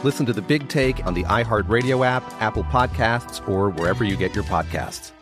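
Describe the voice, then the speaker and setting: A man reading a scripted podcast ad in a crisp, measured announcer style. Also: fairly low pitch. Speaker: promo announcer. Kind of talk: scripted ad read. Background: music.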